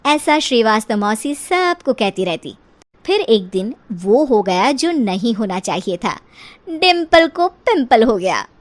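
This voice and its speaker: A woman narrator reading a scripted comic story for an animated video. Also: fairly high pitch, measured pace.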